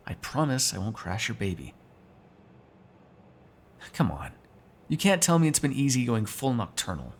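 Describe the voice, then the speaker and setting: A man's voice: fairly low pitch, creaky, a little hiss or hum. A man playing a sarcastic, teasing vampire who kills without regret, in casual, profane roleplay banter. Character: snarky male vampire, restless unrepentant killer, teasing. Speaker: voice actor in an audio roleplay. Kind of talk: ASMR roleplay, casual one-sided dialogue.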